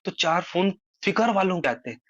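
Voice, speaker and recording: A man's voice: high voice, animated, moderate pace, fairly bright tone, no room sound. A man on a phone call, earnestly explaining his side at length in casual conversation, his voice rising for emphasis. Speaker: social media creator on a phone call. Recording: muffled dry phone-call recording.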